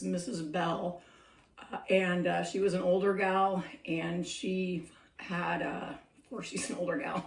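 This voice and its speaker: A woman's voice: fairly low pitch, creaky. A middle-aged woman with a scratchy voice chatting reflectively in a vlog, laughing often as she talks. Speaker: senior care worker sharing advice. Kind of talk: casual talking-to-camera vlog. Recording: roomy echo, mic a bit distant.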